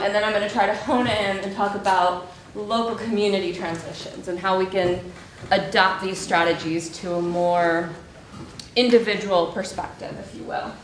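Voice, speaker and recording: A woman's voice: mid-range voice, slight background noise. Young Mexican woman, American-sounding accent, chatty self-deprecating speaker, distant mic in an echoey hall. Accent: American-sounding English. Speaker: conference speaker, PhD researcher. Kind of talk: conference talk with jokes. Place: conference hall.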